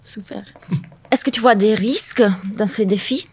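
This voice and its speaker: Brisk woman interviewer asking questions. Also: a little high, breathy edge. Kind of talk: corporate videocast interview.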